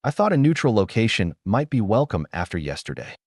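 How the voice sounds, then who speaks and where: A composed billionaire mentor talks in a calm, measured, dignified way, read by a male narrator with a low voice. The sound is close and dry. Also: dry recording.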